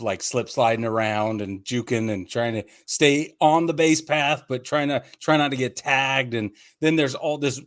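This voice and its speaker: A man co-hosting a sports podcast, talking casually and with enthusiasm. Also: medium pitch. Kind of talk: casual baseball chat.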